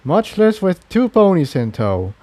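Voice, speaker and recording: Man as a gentle, confident male pony adventurer. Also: high-pitched, very animated, measured pace, no echo, voice pushed up. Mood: wary, planning.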